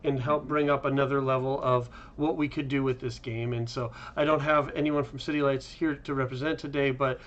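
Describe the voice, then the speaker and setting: A man's voice: medium pitch, flat delivery, fairly quick, few pauses, fairly bright tone. Informal man host, slightly dull sound. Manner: conversational, informal. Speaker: host, game developer. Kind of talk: casual chat.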